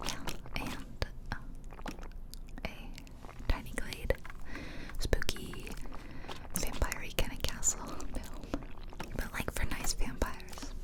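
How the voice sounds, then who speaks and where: A woman speaking in a soft, breathy ASMR whisper close to the mic from ear to ear, rambling casually and gently over game footage. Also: takes it slowly, pauses often, poor audio. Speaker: ASMR video creator. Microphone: close ear-to-ear stereo microphone.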